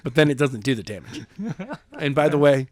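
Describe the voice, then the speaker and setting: A man's voice: medium pitch, a little fast, darkish tone, no echo, studio quality. A man co-hosting a podcast, chatting casually about a book chapter and playfully acting out the characters. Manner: playful, acts out characters in silly voices. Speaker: podcast co-host, narrator.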